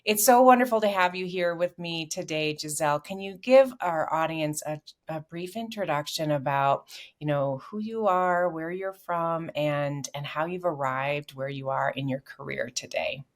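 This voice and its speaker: A woman's voice: low-pitched, normal speed, few pauses. A middle-aged woman hosting a podcast interview, upbeat and encouraging, with frequent affirmations. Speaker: podcast host. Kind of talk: scripted intro then casual interview.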